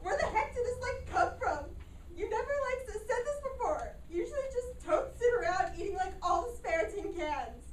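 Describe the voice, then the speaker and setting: Woman playing a very high, ditzy young wife. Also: lively intonation, normal speed. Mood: whiny upset. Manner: valley-girl slang, filled with "like" and "totes". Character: ditzy, whiny young wife married to a goat. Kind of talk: amateur staged reading. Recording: echoey room, far mic, faint noise.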